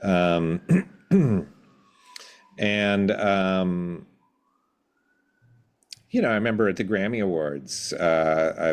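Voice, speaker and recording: Middle aged guy w a super low voice, kinda hesitant, telling stories like a podcast guest. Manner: hesitant, reflective, halting mid-word. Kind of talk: casual interview recollection.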